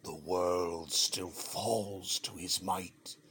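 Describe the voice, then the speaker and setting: Mad prophet: fanatical, portentous, very slow and low, whispery menace, faint ambient noise. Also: male voice, steady tone, fairly bright tone, exaggerated voice. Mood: ominous, vengeful. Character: fanatical, ominous mad prophet of a dark god. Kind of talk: solemn audio drama monologue.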